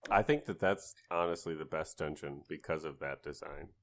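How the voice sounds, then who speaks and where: Low-voiced man in playful chat. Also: moderate pace. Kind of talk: casual chat about a video game.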